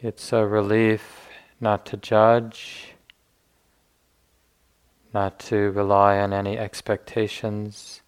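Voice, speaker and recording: A man leading a guided meditation as a teacher, speaking gently in unhurried phrases with long pauses. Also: fairly low pitch, monotone, clear, resonant tone.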